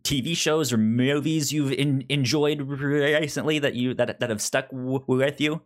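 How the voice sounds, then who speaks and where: Hesitant male podcast interviewer, medium pitch. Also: steady tone, unhurried. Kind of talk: casual interview chat.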